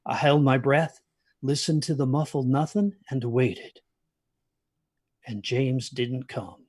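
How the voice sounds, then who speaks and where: A man's voice: mid-range voice, takes it slowly, darkish tone, breathy edge. A man acting an anxious, haunted narrator of a dramatic first-person ghost story, hesitant and brooding in his delivery. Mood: uneasy, brooding. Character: anxious haunted man recalling a stormy night.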